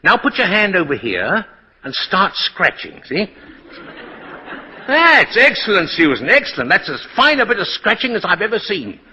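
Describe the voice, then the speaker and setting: A man's voice: high voice, wildly swooping pitch, moderate pace, sharp tone, slight background noise, full character voice. An actor playing a kindly, whimsical old man who claims to be Santa Claus in a 1940s radio drama, chuckling, hesitant and fond of a questioning "eh?". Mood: playful, delighted. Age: older. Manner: chuckling, hesitant, tacks questioning "eh?" onto sentences. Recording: dull, muffled 1940s radio recording.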